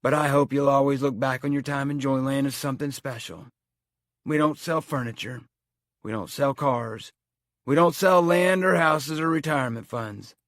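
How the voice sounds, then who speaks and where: A man's voice: mid-range voice, normal speed, fairly bright tone, no echo, studio quality, pitched above the performer's own voice, natural voice. A man voicing a very old male amusement park owner giving a solemn, sweeping speech. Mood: grand, earnest.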